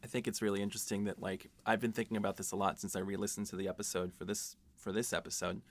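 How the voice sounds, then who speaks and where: A man's voice: on the low side, level tone, quick pace. A man co-hosting a podcast, chatting casually and enthusiastically and putting questions to his co-writer. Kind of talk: casual behind-the-scenes chat.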